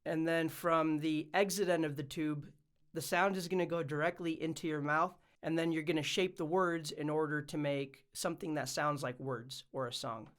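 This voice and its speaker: Clear, higher-voiced man presenting an explainer. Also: steady tone, moderate pace, a bit bright. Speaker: YouTube music production presenter. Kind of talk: casual explainer vlog.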